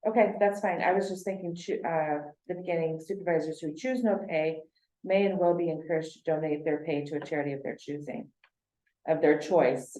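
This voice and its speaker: A low-voiced woman in a committee meeting fusses over the exact wording, spelling words out letter by letter. Her tone is mellow, and the room adds an echo. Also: steady tone, a little fast. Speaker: committee member. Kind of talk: casual committee discussion.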